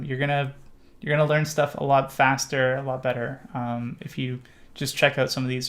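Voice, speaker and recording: A middle-aged Canadian man hosting a live stream, answering viewer questions in a rambling casual way with lots of fillers. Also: mid-range voice. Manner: rambling with many fillers and restarts. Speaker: livestream host.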